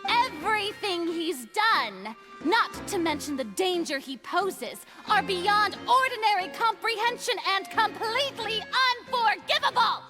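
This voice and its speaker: A woman's voice: extremely high pitch, expressive, unhurried, hardly any pauses, over music. A woman playing a flamboyant, haughty young female Archon in a video game, with grandiose, stagey delivery. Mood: grand, haughty. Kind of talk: theatrical game voice acting.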